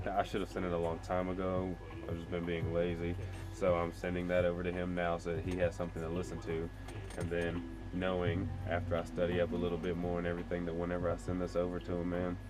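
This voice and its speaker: Low-voiced man rambling over loud music. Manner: profane, slangy, self-deprecating, thinking aloud. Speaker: vlogger, amateur music producer. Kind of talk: casual, rambling vlog talk.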